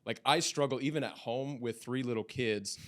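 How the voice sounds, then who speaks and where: A man in his thirties, a father of young kids, talking reflectively in a casual podcast chat with halting restarts. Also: medium pitch. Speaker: podcast co-host.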